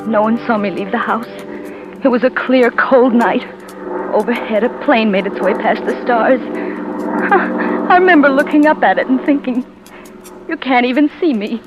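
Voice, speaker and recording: A woman's voice: on the high side, moderate pace, long pauses, dull audio, lightly acted. A woman in 1940s radio drama style, playing a frightened young wife who narrates her own descent into murder in breathless, stammering words. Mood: tense. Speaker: narrator and lead character. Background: music.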